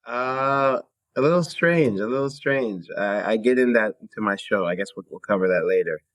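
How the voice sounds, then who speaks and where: A man from Canada, a guest musician in a podcast interview, talking in a relaxed, laid-back way with fillers and small laughs. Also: on the low side, moderate pace. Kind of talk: casual interview talk.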